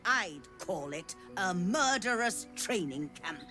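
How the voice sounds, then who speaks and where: Slow, genteel, condescending scholarly woman. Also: on the low side, expressive, bright, forceful tone, faint music behind, full character voice. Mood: disdainful. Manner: theatrical, effusive, affectedly polite. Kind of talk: dramatic video game acting.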